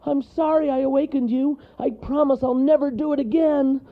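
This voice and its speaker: A man's voice: sits high, lively intonation, normal speed, gravelly, narrow, tinny audio, pitched above the performer's own voice, exaggerated voice. A man voicing a small, anxious male woodland animal who speaks courteously and nervously in a children's story. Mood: frightened, pleading.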